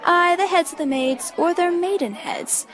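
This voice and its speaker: A woman's voice: very high voice, animated, normal speed, fairly bright tone, voice pushed up. A woman playing a boastful, bawdy, quarrelsome male servant in an amateur Shakespeare reading, with swaggering banter.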